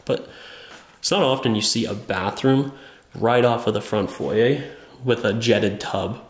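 A man's voice: fairly low pitch, measured pace, slight background noise. Sick young man touring an empty house. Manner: enthusiastic, chatty, many fillers. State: sick with a cold. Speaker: urban explorer vlogger. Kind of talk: casual walkthrough narration. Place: empty abandoned house, indoors. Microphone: small camera held at arm's length.

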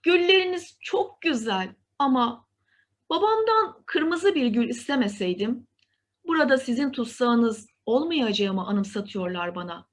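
A woman voicing a gentle, emotional young heroine in a storybook tale, earnest and emphatic. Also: fairly high pitch, animated, a little slow. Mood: sorrowful.

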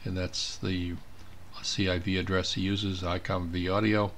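A man giving an informal step-by-step software demonstration, thinking aloud as he clicks through each step. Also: deep voice, level tone, measured pace, slight background noise. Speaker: presenter of a software tutorial. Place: indoors at a computer.

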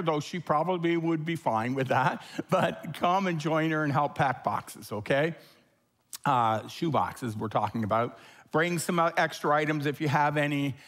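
Rambling older pastor with a Canadian accent. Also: male voice, on the high side, fairly bright tone. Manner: rambling, chatty, many fillers. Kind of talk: church announcements. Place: church sanctuary stage.

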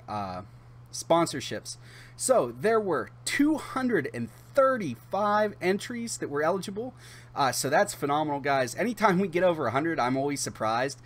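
A man in his thirties hosting a channel, talking casually to camera in a chatty, halting way with drawn-out pauses. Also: mid-range voice. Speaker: YouTube channel host. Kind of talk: casual direct-to-camera giveaway announcement.

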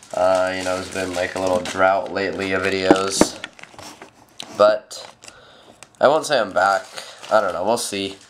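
A teenage boy hosting a card pack opening with a stuffed nose from a cold, rambling with lots of fillers. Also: fairly low pitch. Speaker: channel host. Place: home room at a table. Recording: noisy home camera audio.

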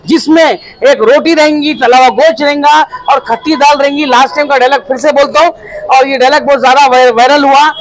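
A man's voice: extremely high pitch, steady tone, brisk, low-quality recording. A young man with a Hyderabadi accent giving a comic, exaggerated promotional speech into news mics in a crowded eatery. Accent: Hyderabadi Hindi-Urdu. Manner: theatrical, comic, exaggerating, stretched-out words. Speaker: restaurant owner promoting his food court. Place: crowded small eatery indoors. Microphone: cluster of handheld news mics.

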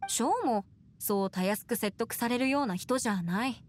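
A woman's voice: fairly high pitch, moderate pace, close to an everyday voice. A woman playing an earnest, caring young female advocate in an anime game, articulate, with formal phrasing. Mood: thoughtful.

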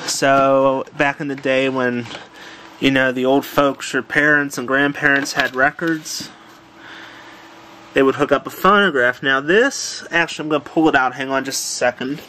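A man casually demonstrating a home electronics project, unhurried and thinking aloud with fillers, filmed on a handheld camera in a room at home. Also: mid-range voice, pushed and bright, a little hiss or hum. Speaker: tutorial presenter. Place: home room with old radio.

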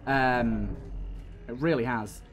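A man's voice: medium pitch, slow, over music. A man giving casual let's-play commentary, thinking aloud as he plays. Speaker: gaming video host.

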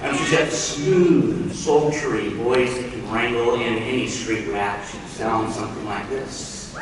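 A man's voice: mid-range voice, a little slow, noisy background. A middle-aged man presenting live on stage as a panel host, heard over a hall PA. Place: large auditorium stage, indoors. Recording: echoey hall PA from far off, loud crowd.

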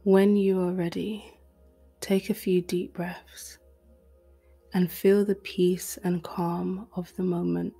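A woman reading a guided meditation in a soft, breathy, gentle and soothing voice. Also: fairly low pitch, flat delivery, slow. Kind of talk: guided meditation, scripted narration. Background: music.

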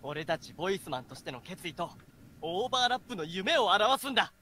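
A man's voice: sits high, sing-song, fast talker, pushed and bright, a little hiss or hum, full character voice. A man playing an earnest, brash young hero in an amateur voice drama rehearsal. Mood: earnest, resolute.

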